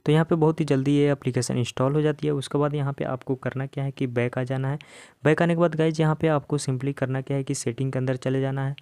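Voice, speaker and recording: An Indian man hosts a casual how-to video for phone users. His pitch sits in the middle of the male range, and the sound is dry and close. He speaks straight to viewers, instructing them step by step. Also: fairly even intonation, fast, dark tone, clean tone, no echo. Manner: addresses viewers directly, instructional. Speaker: tech tutorial host.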